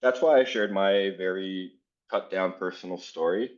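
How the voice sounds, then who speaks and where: A man from South Florida leads a workshop for teachers in a very low voice. He talks in a casual, earnest way and fills his pauses with "um." The audio is dull and lo-fi, like a video call. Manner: casual, many fillers, earnest. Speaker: educator leading a workshop. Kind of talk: conference workshop presentation.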